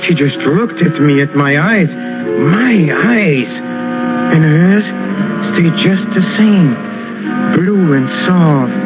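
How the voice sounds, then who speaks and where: An actor playing a brooding, menacing young killer in 1940s radio suspense style, speaking in halting, repeated phrases. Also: male voice, on the high side, heavily acted. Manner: halting, repetitive, broken phrases. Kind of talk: 1940s radio suspense acting, confessional monologue. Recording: muffled old radio recording with music.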